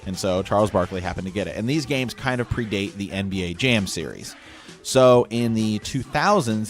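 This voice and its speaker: A man hosting a podcast, chatting casually as he makes an announcement with a co-host. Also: sits low.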